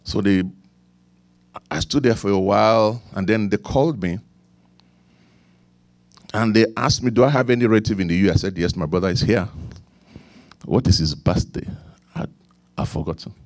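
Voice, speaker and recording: A middle-aged man with a Nigerian accent preaching a sermon, repeating key lines, leaning into emphatic points and then dropping low for quiet asides. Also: normal speed, pauses often, no room sound. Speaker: pastor.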